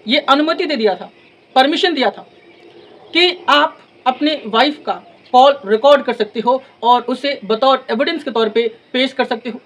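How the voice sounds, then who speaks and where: A man teaches in a notably high voice. He lectures deliberately, phrase by phrase, mixing in English legal terms and repeating points for emphasis. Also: fairly bright tone, poor audio. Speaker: law lecturer. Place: in front of a classroom blackboard. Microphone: lapel mic.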